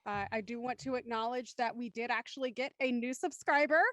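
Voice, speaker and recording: A woman's voice: on the high side, brisk, a bit bright. A woman hosting a conversational discussion, thinking aloud as she works her way into questions. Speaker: host moderating a post-show discussion.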